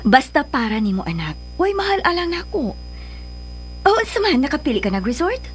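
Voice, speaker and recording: A woman's voice: high voice, very animated, moderate pace, faint music behind. An actress playing a doting but guarded mother of a grown daughter in a radio drama, with a Filipino accent. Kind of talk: Philippine radio drama acting.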